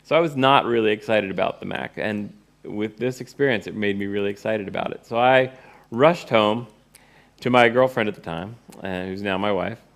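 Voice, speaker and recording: A man's voice: lowish voice, normal speed, vocal fry, a bit bright. A middle-aged man telling a personal story informally to an audience, with a wry way of speaking. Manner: wry, acts out remembered dialogue. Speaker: presenter, course teacher and author.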